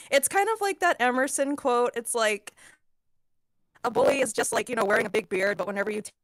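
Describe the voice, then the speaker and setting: A woman's voice: high voice, dry recording. A woman chatting casually in a giggly, whimsical way, slipping into little acted-out quotes. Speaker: voice actor on a cast panel. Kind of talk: casual chat.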